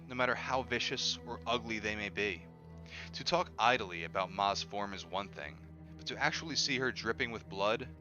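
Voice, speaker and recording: A man reading a book aloud in an expressive style. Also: on the low side. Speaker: reader of an audiobook on a stream. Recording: dull lo-fi audio with music behind. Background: music.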